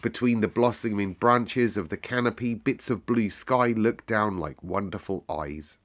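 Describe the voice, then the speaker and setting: A man reading a children's novel aloud in an expressive storytelling manner. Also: on the low side, a little slow.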